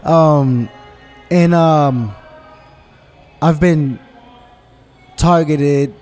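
A man's voice: a little high, extremely slow, darkish tone, faint music behind. A man from New York in a casual conversation, talking emphatically and often asking "you understand?" to check he is followed. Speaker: guest.

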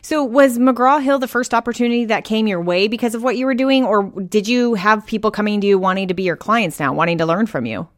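A woman host of an interview podcast, with a mid-pitched voice in a dry, close recording. She asks questions and sums up her guest's points in an encouraging, thoughtful way, full of casual fillers. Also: brisk, hardly any pauses, dry recording. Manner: encouraging, reflective, uses many fillers.

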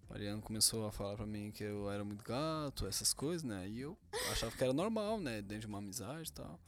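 A young man, co-hosting a casual couple podcast with boastful, deadpan jokes. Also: lowish voice, fast talker. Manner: joking, boastful, deadpan teasing. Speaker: podcast co-host.

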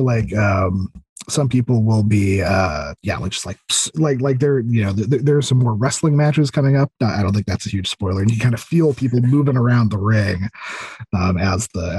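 A man's voice: on the low side, a little mellow, breathy edge. A man chatting casually as a podcast guest, enthusiastic and rambling, often repeating words and starting over. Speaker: podcast guest, audio drama creator. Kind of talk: casual interview chat.

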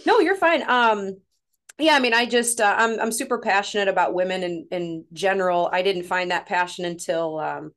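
A woman's voice: mid-range voice, normal speed, a bit bright. Chatty Midwestern woman in her thirties. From Missouri, USA. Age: 30s. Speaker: guest, women's coach. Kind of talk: casual podcast interview.

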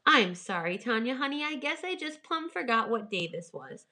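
A wistful old grandmother from a children's storybook, read by a woman. She speaks with folksy affection about family and home, and the voice is bright and clear-edged. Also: a little high, big pitch swings, moderate pace. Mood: apologetic, fond.

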